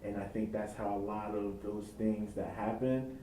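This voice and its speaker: Mellow low-voiced young man, distant and echoey. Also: steady tone, moderate pace. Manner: reflective, speaking in loose run-on thoughts. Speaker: interviewee. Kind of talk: casual interview answers. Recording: echoey room, mic at a distance, faint rumble.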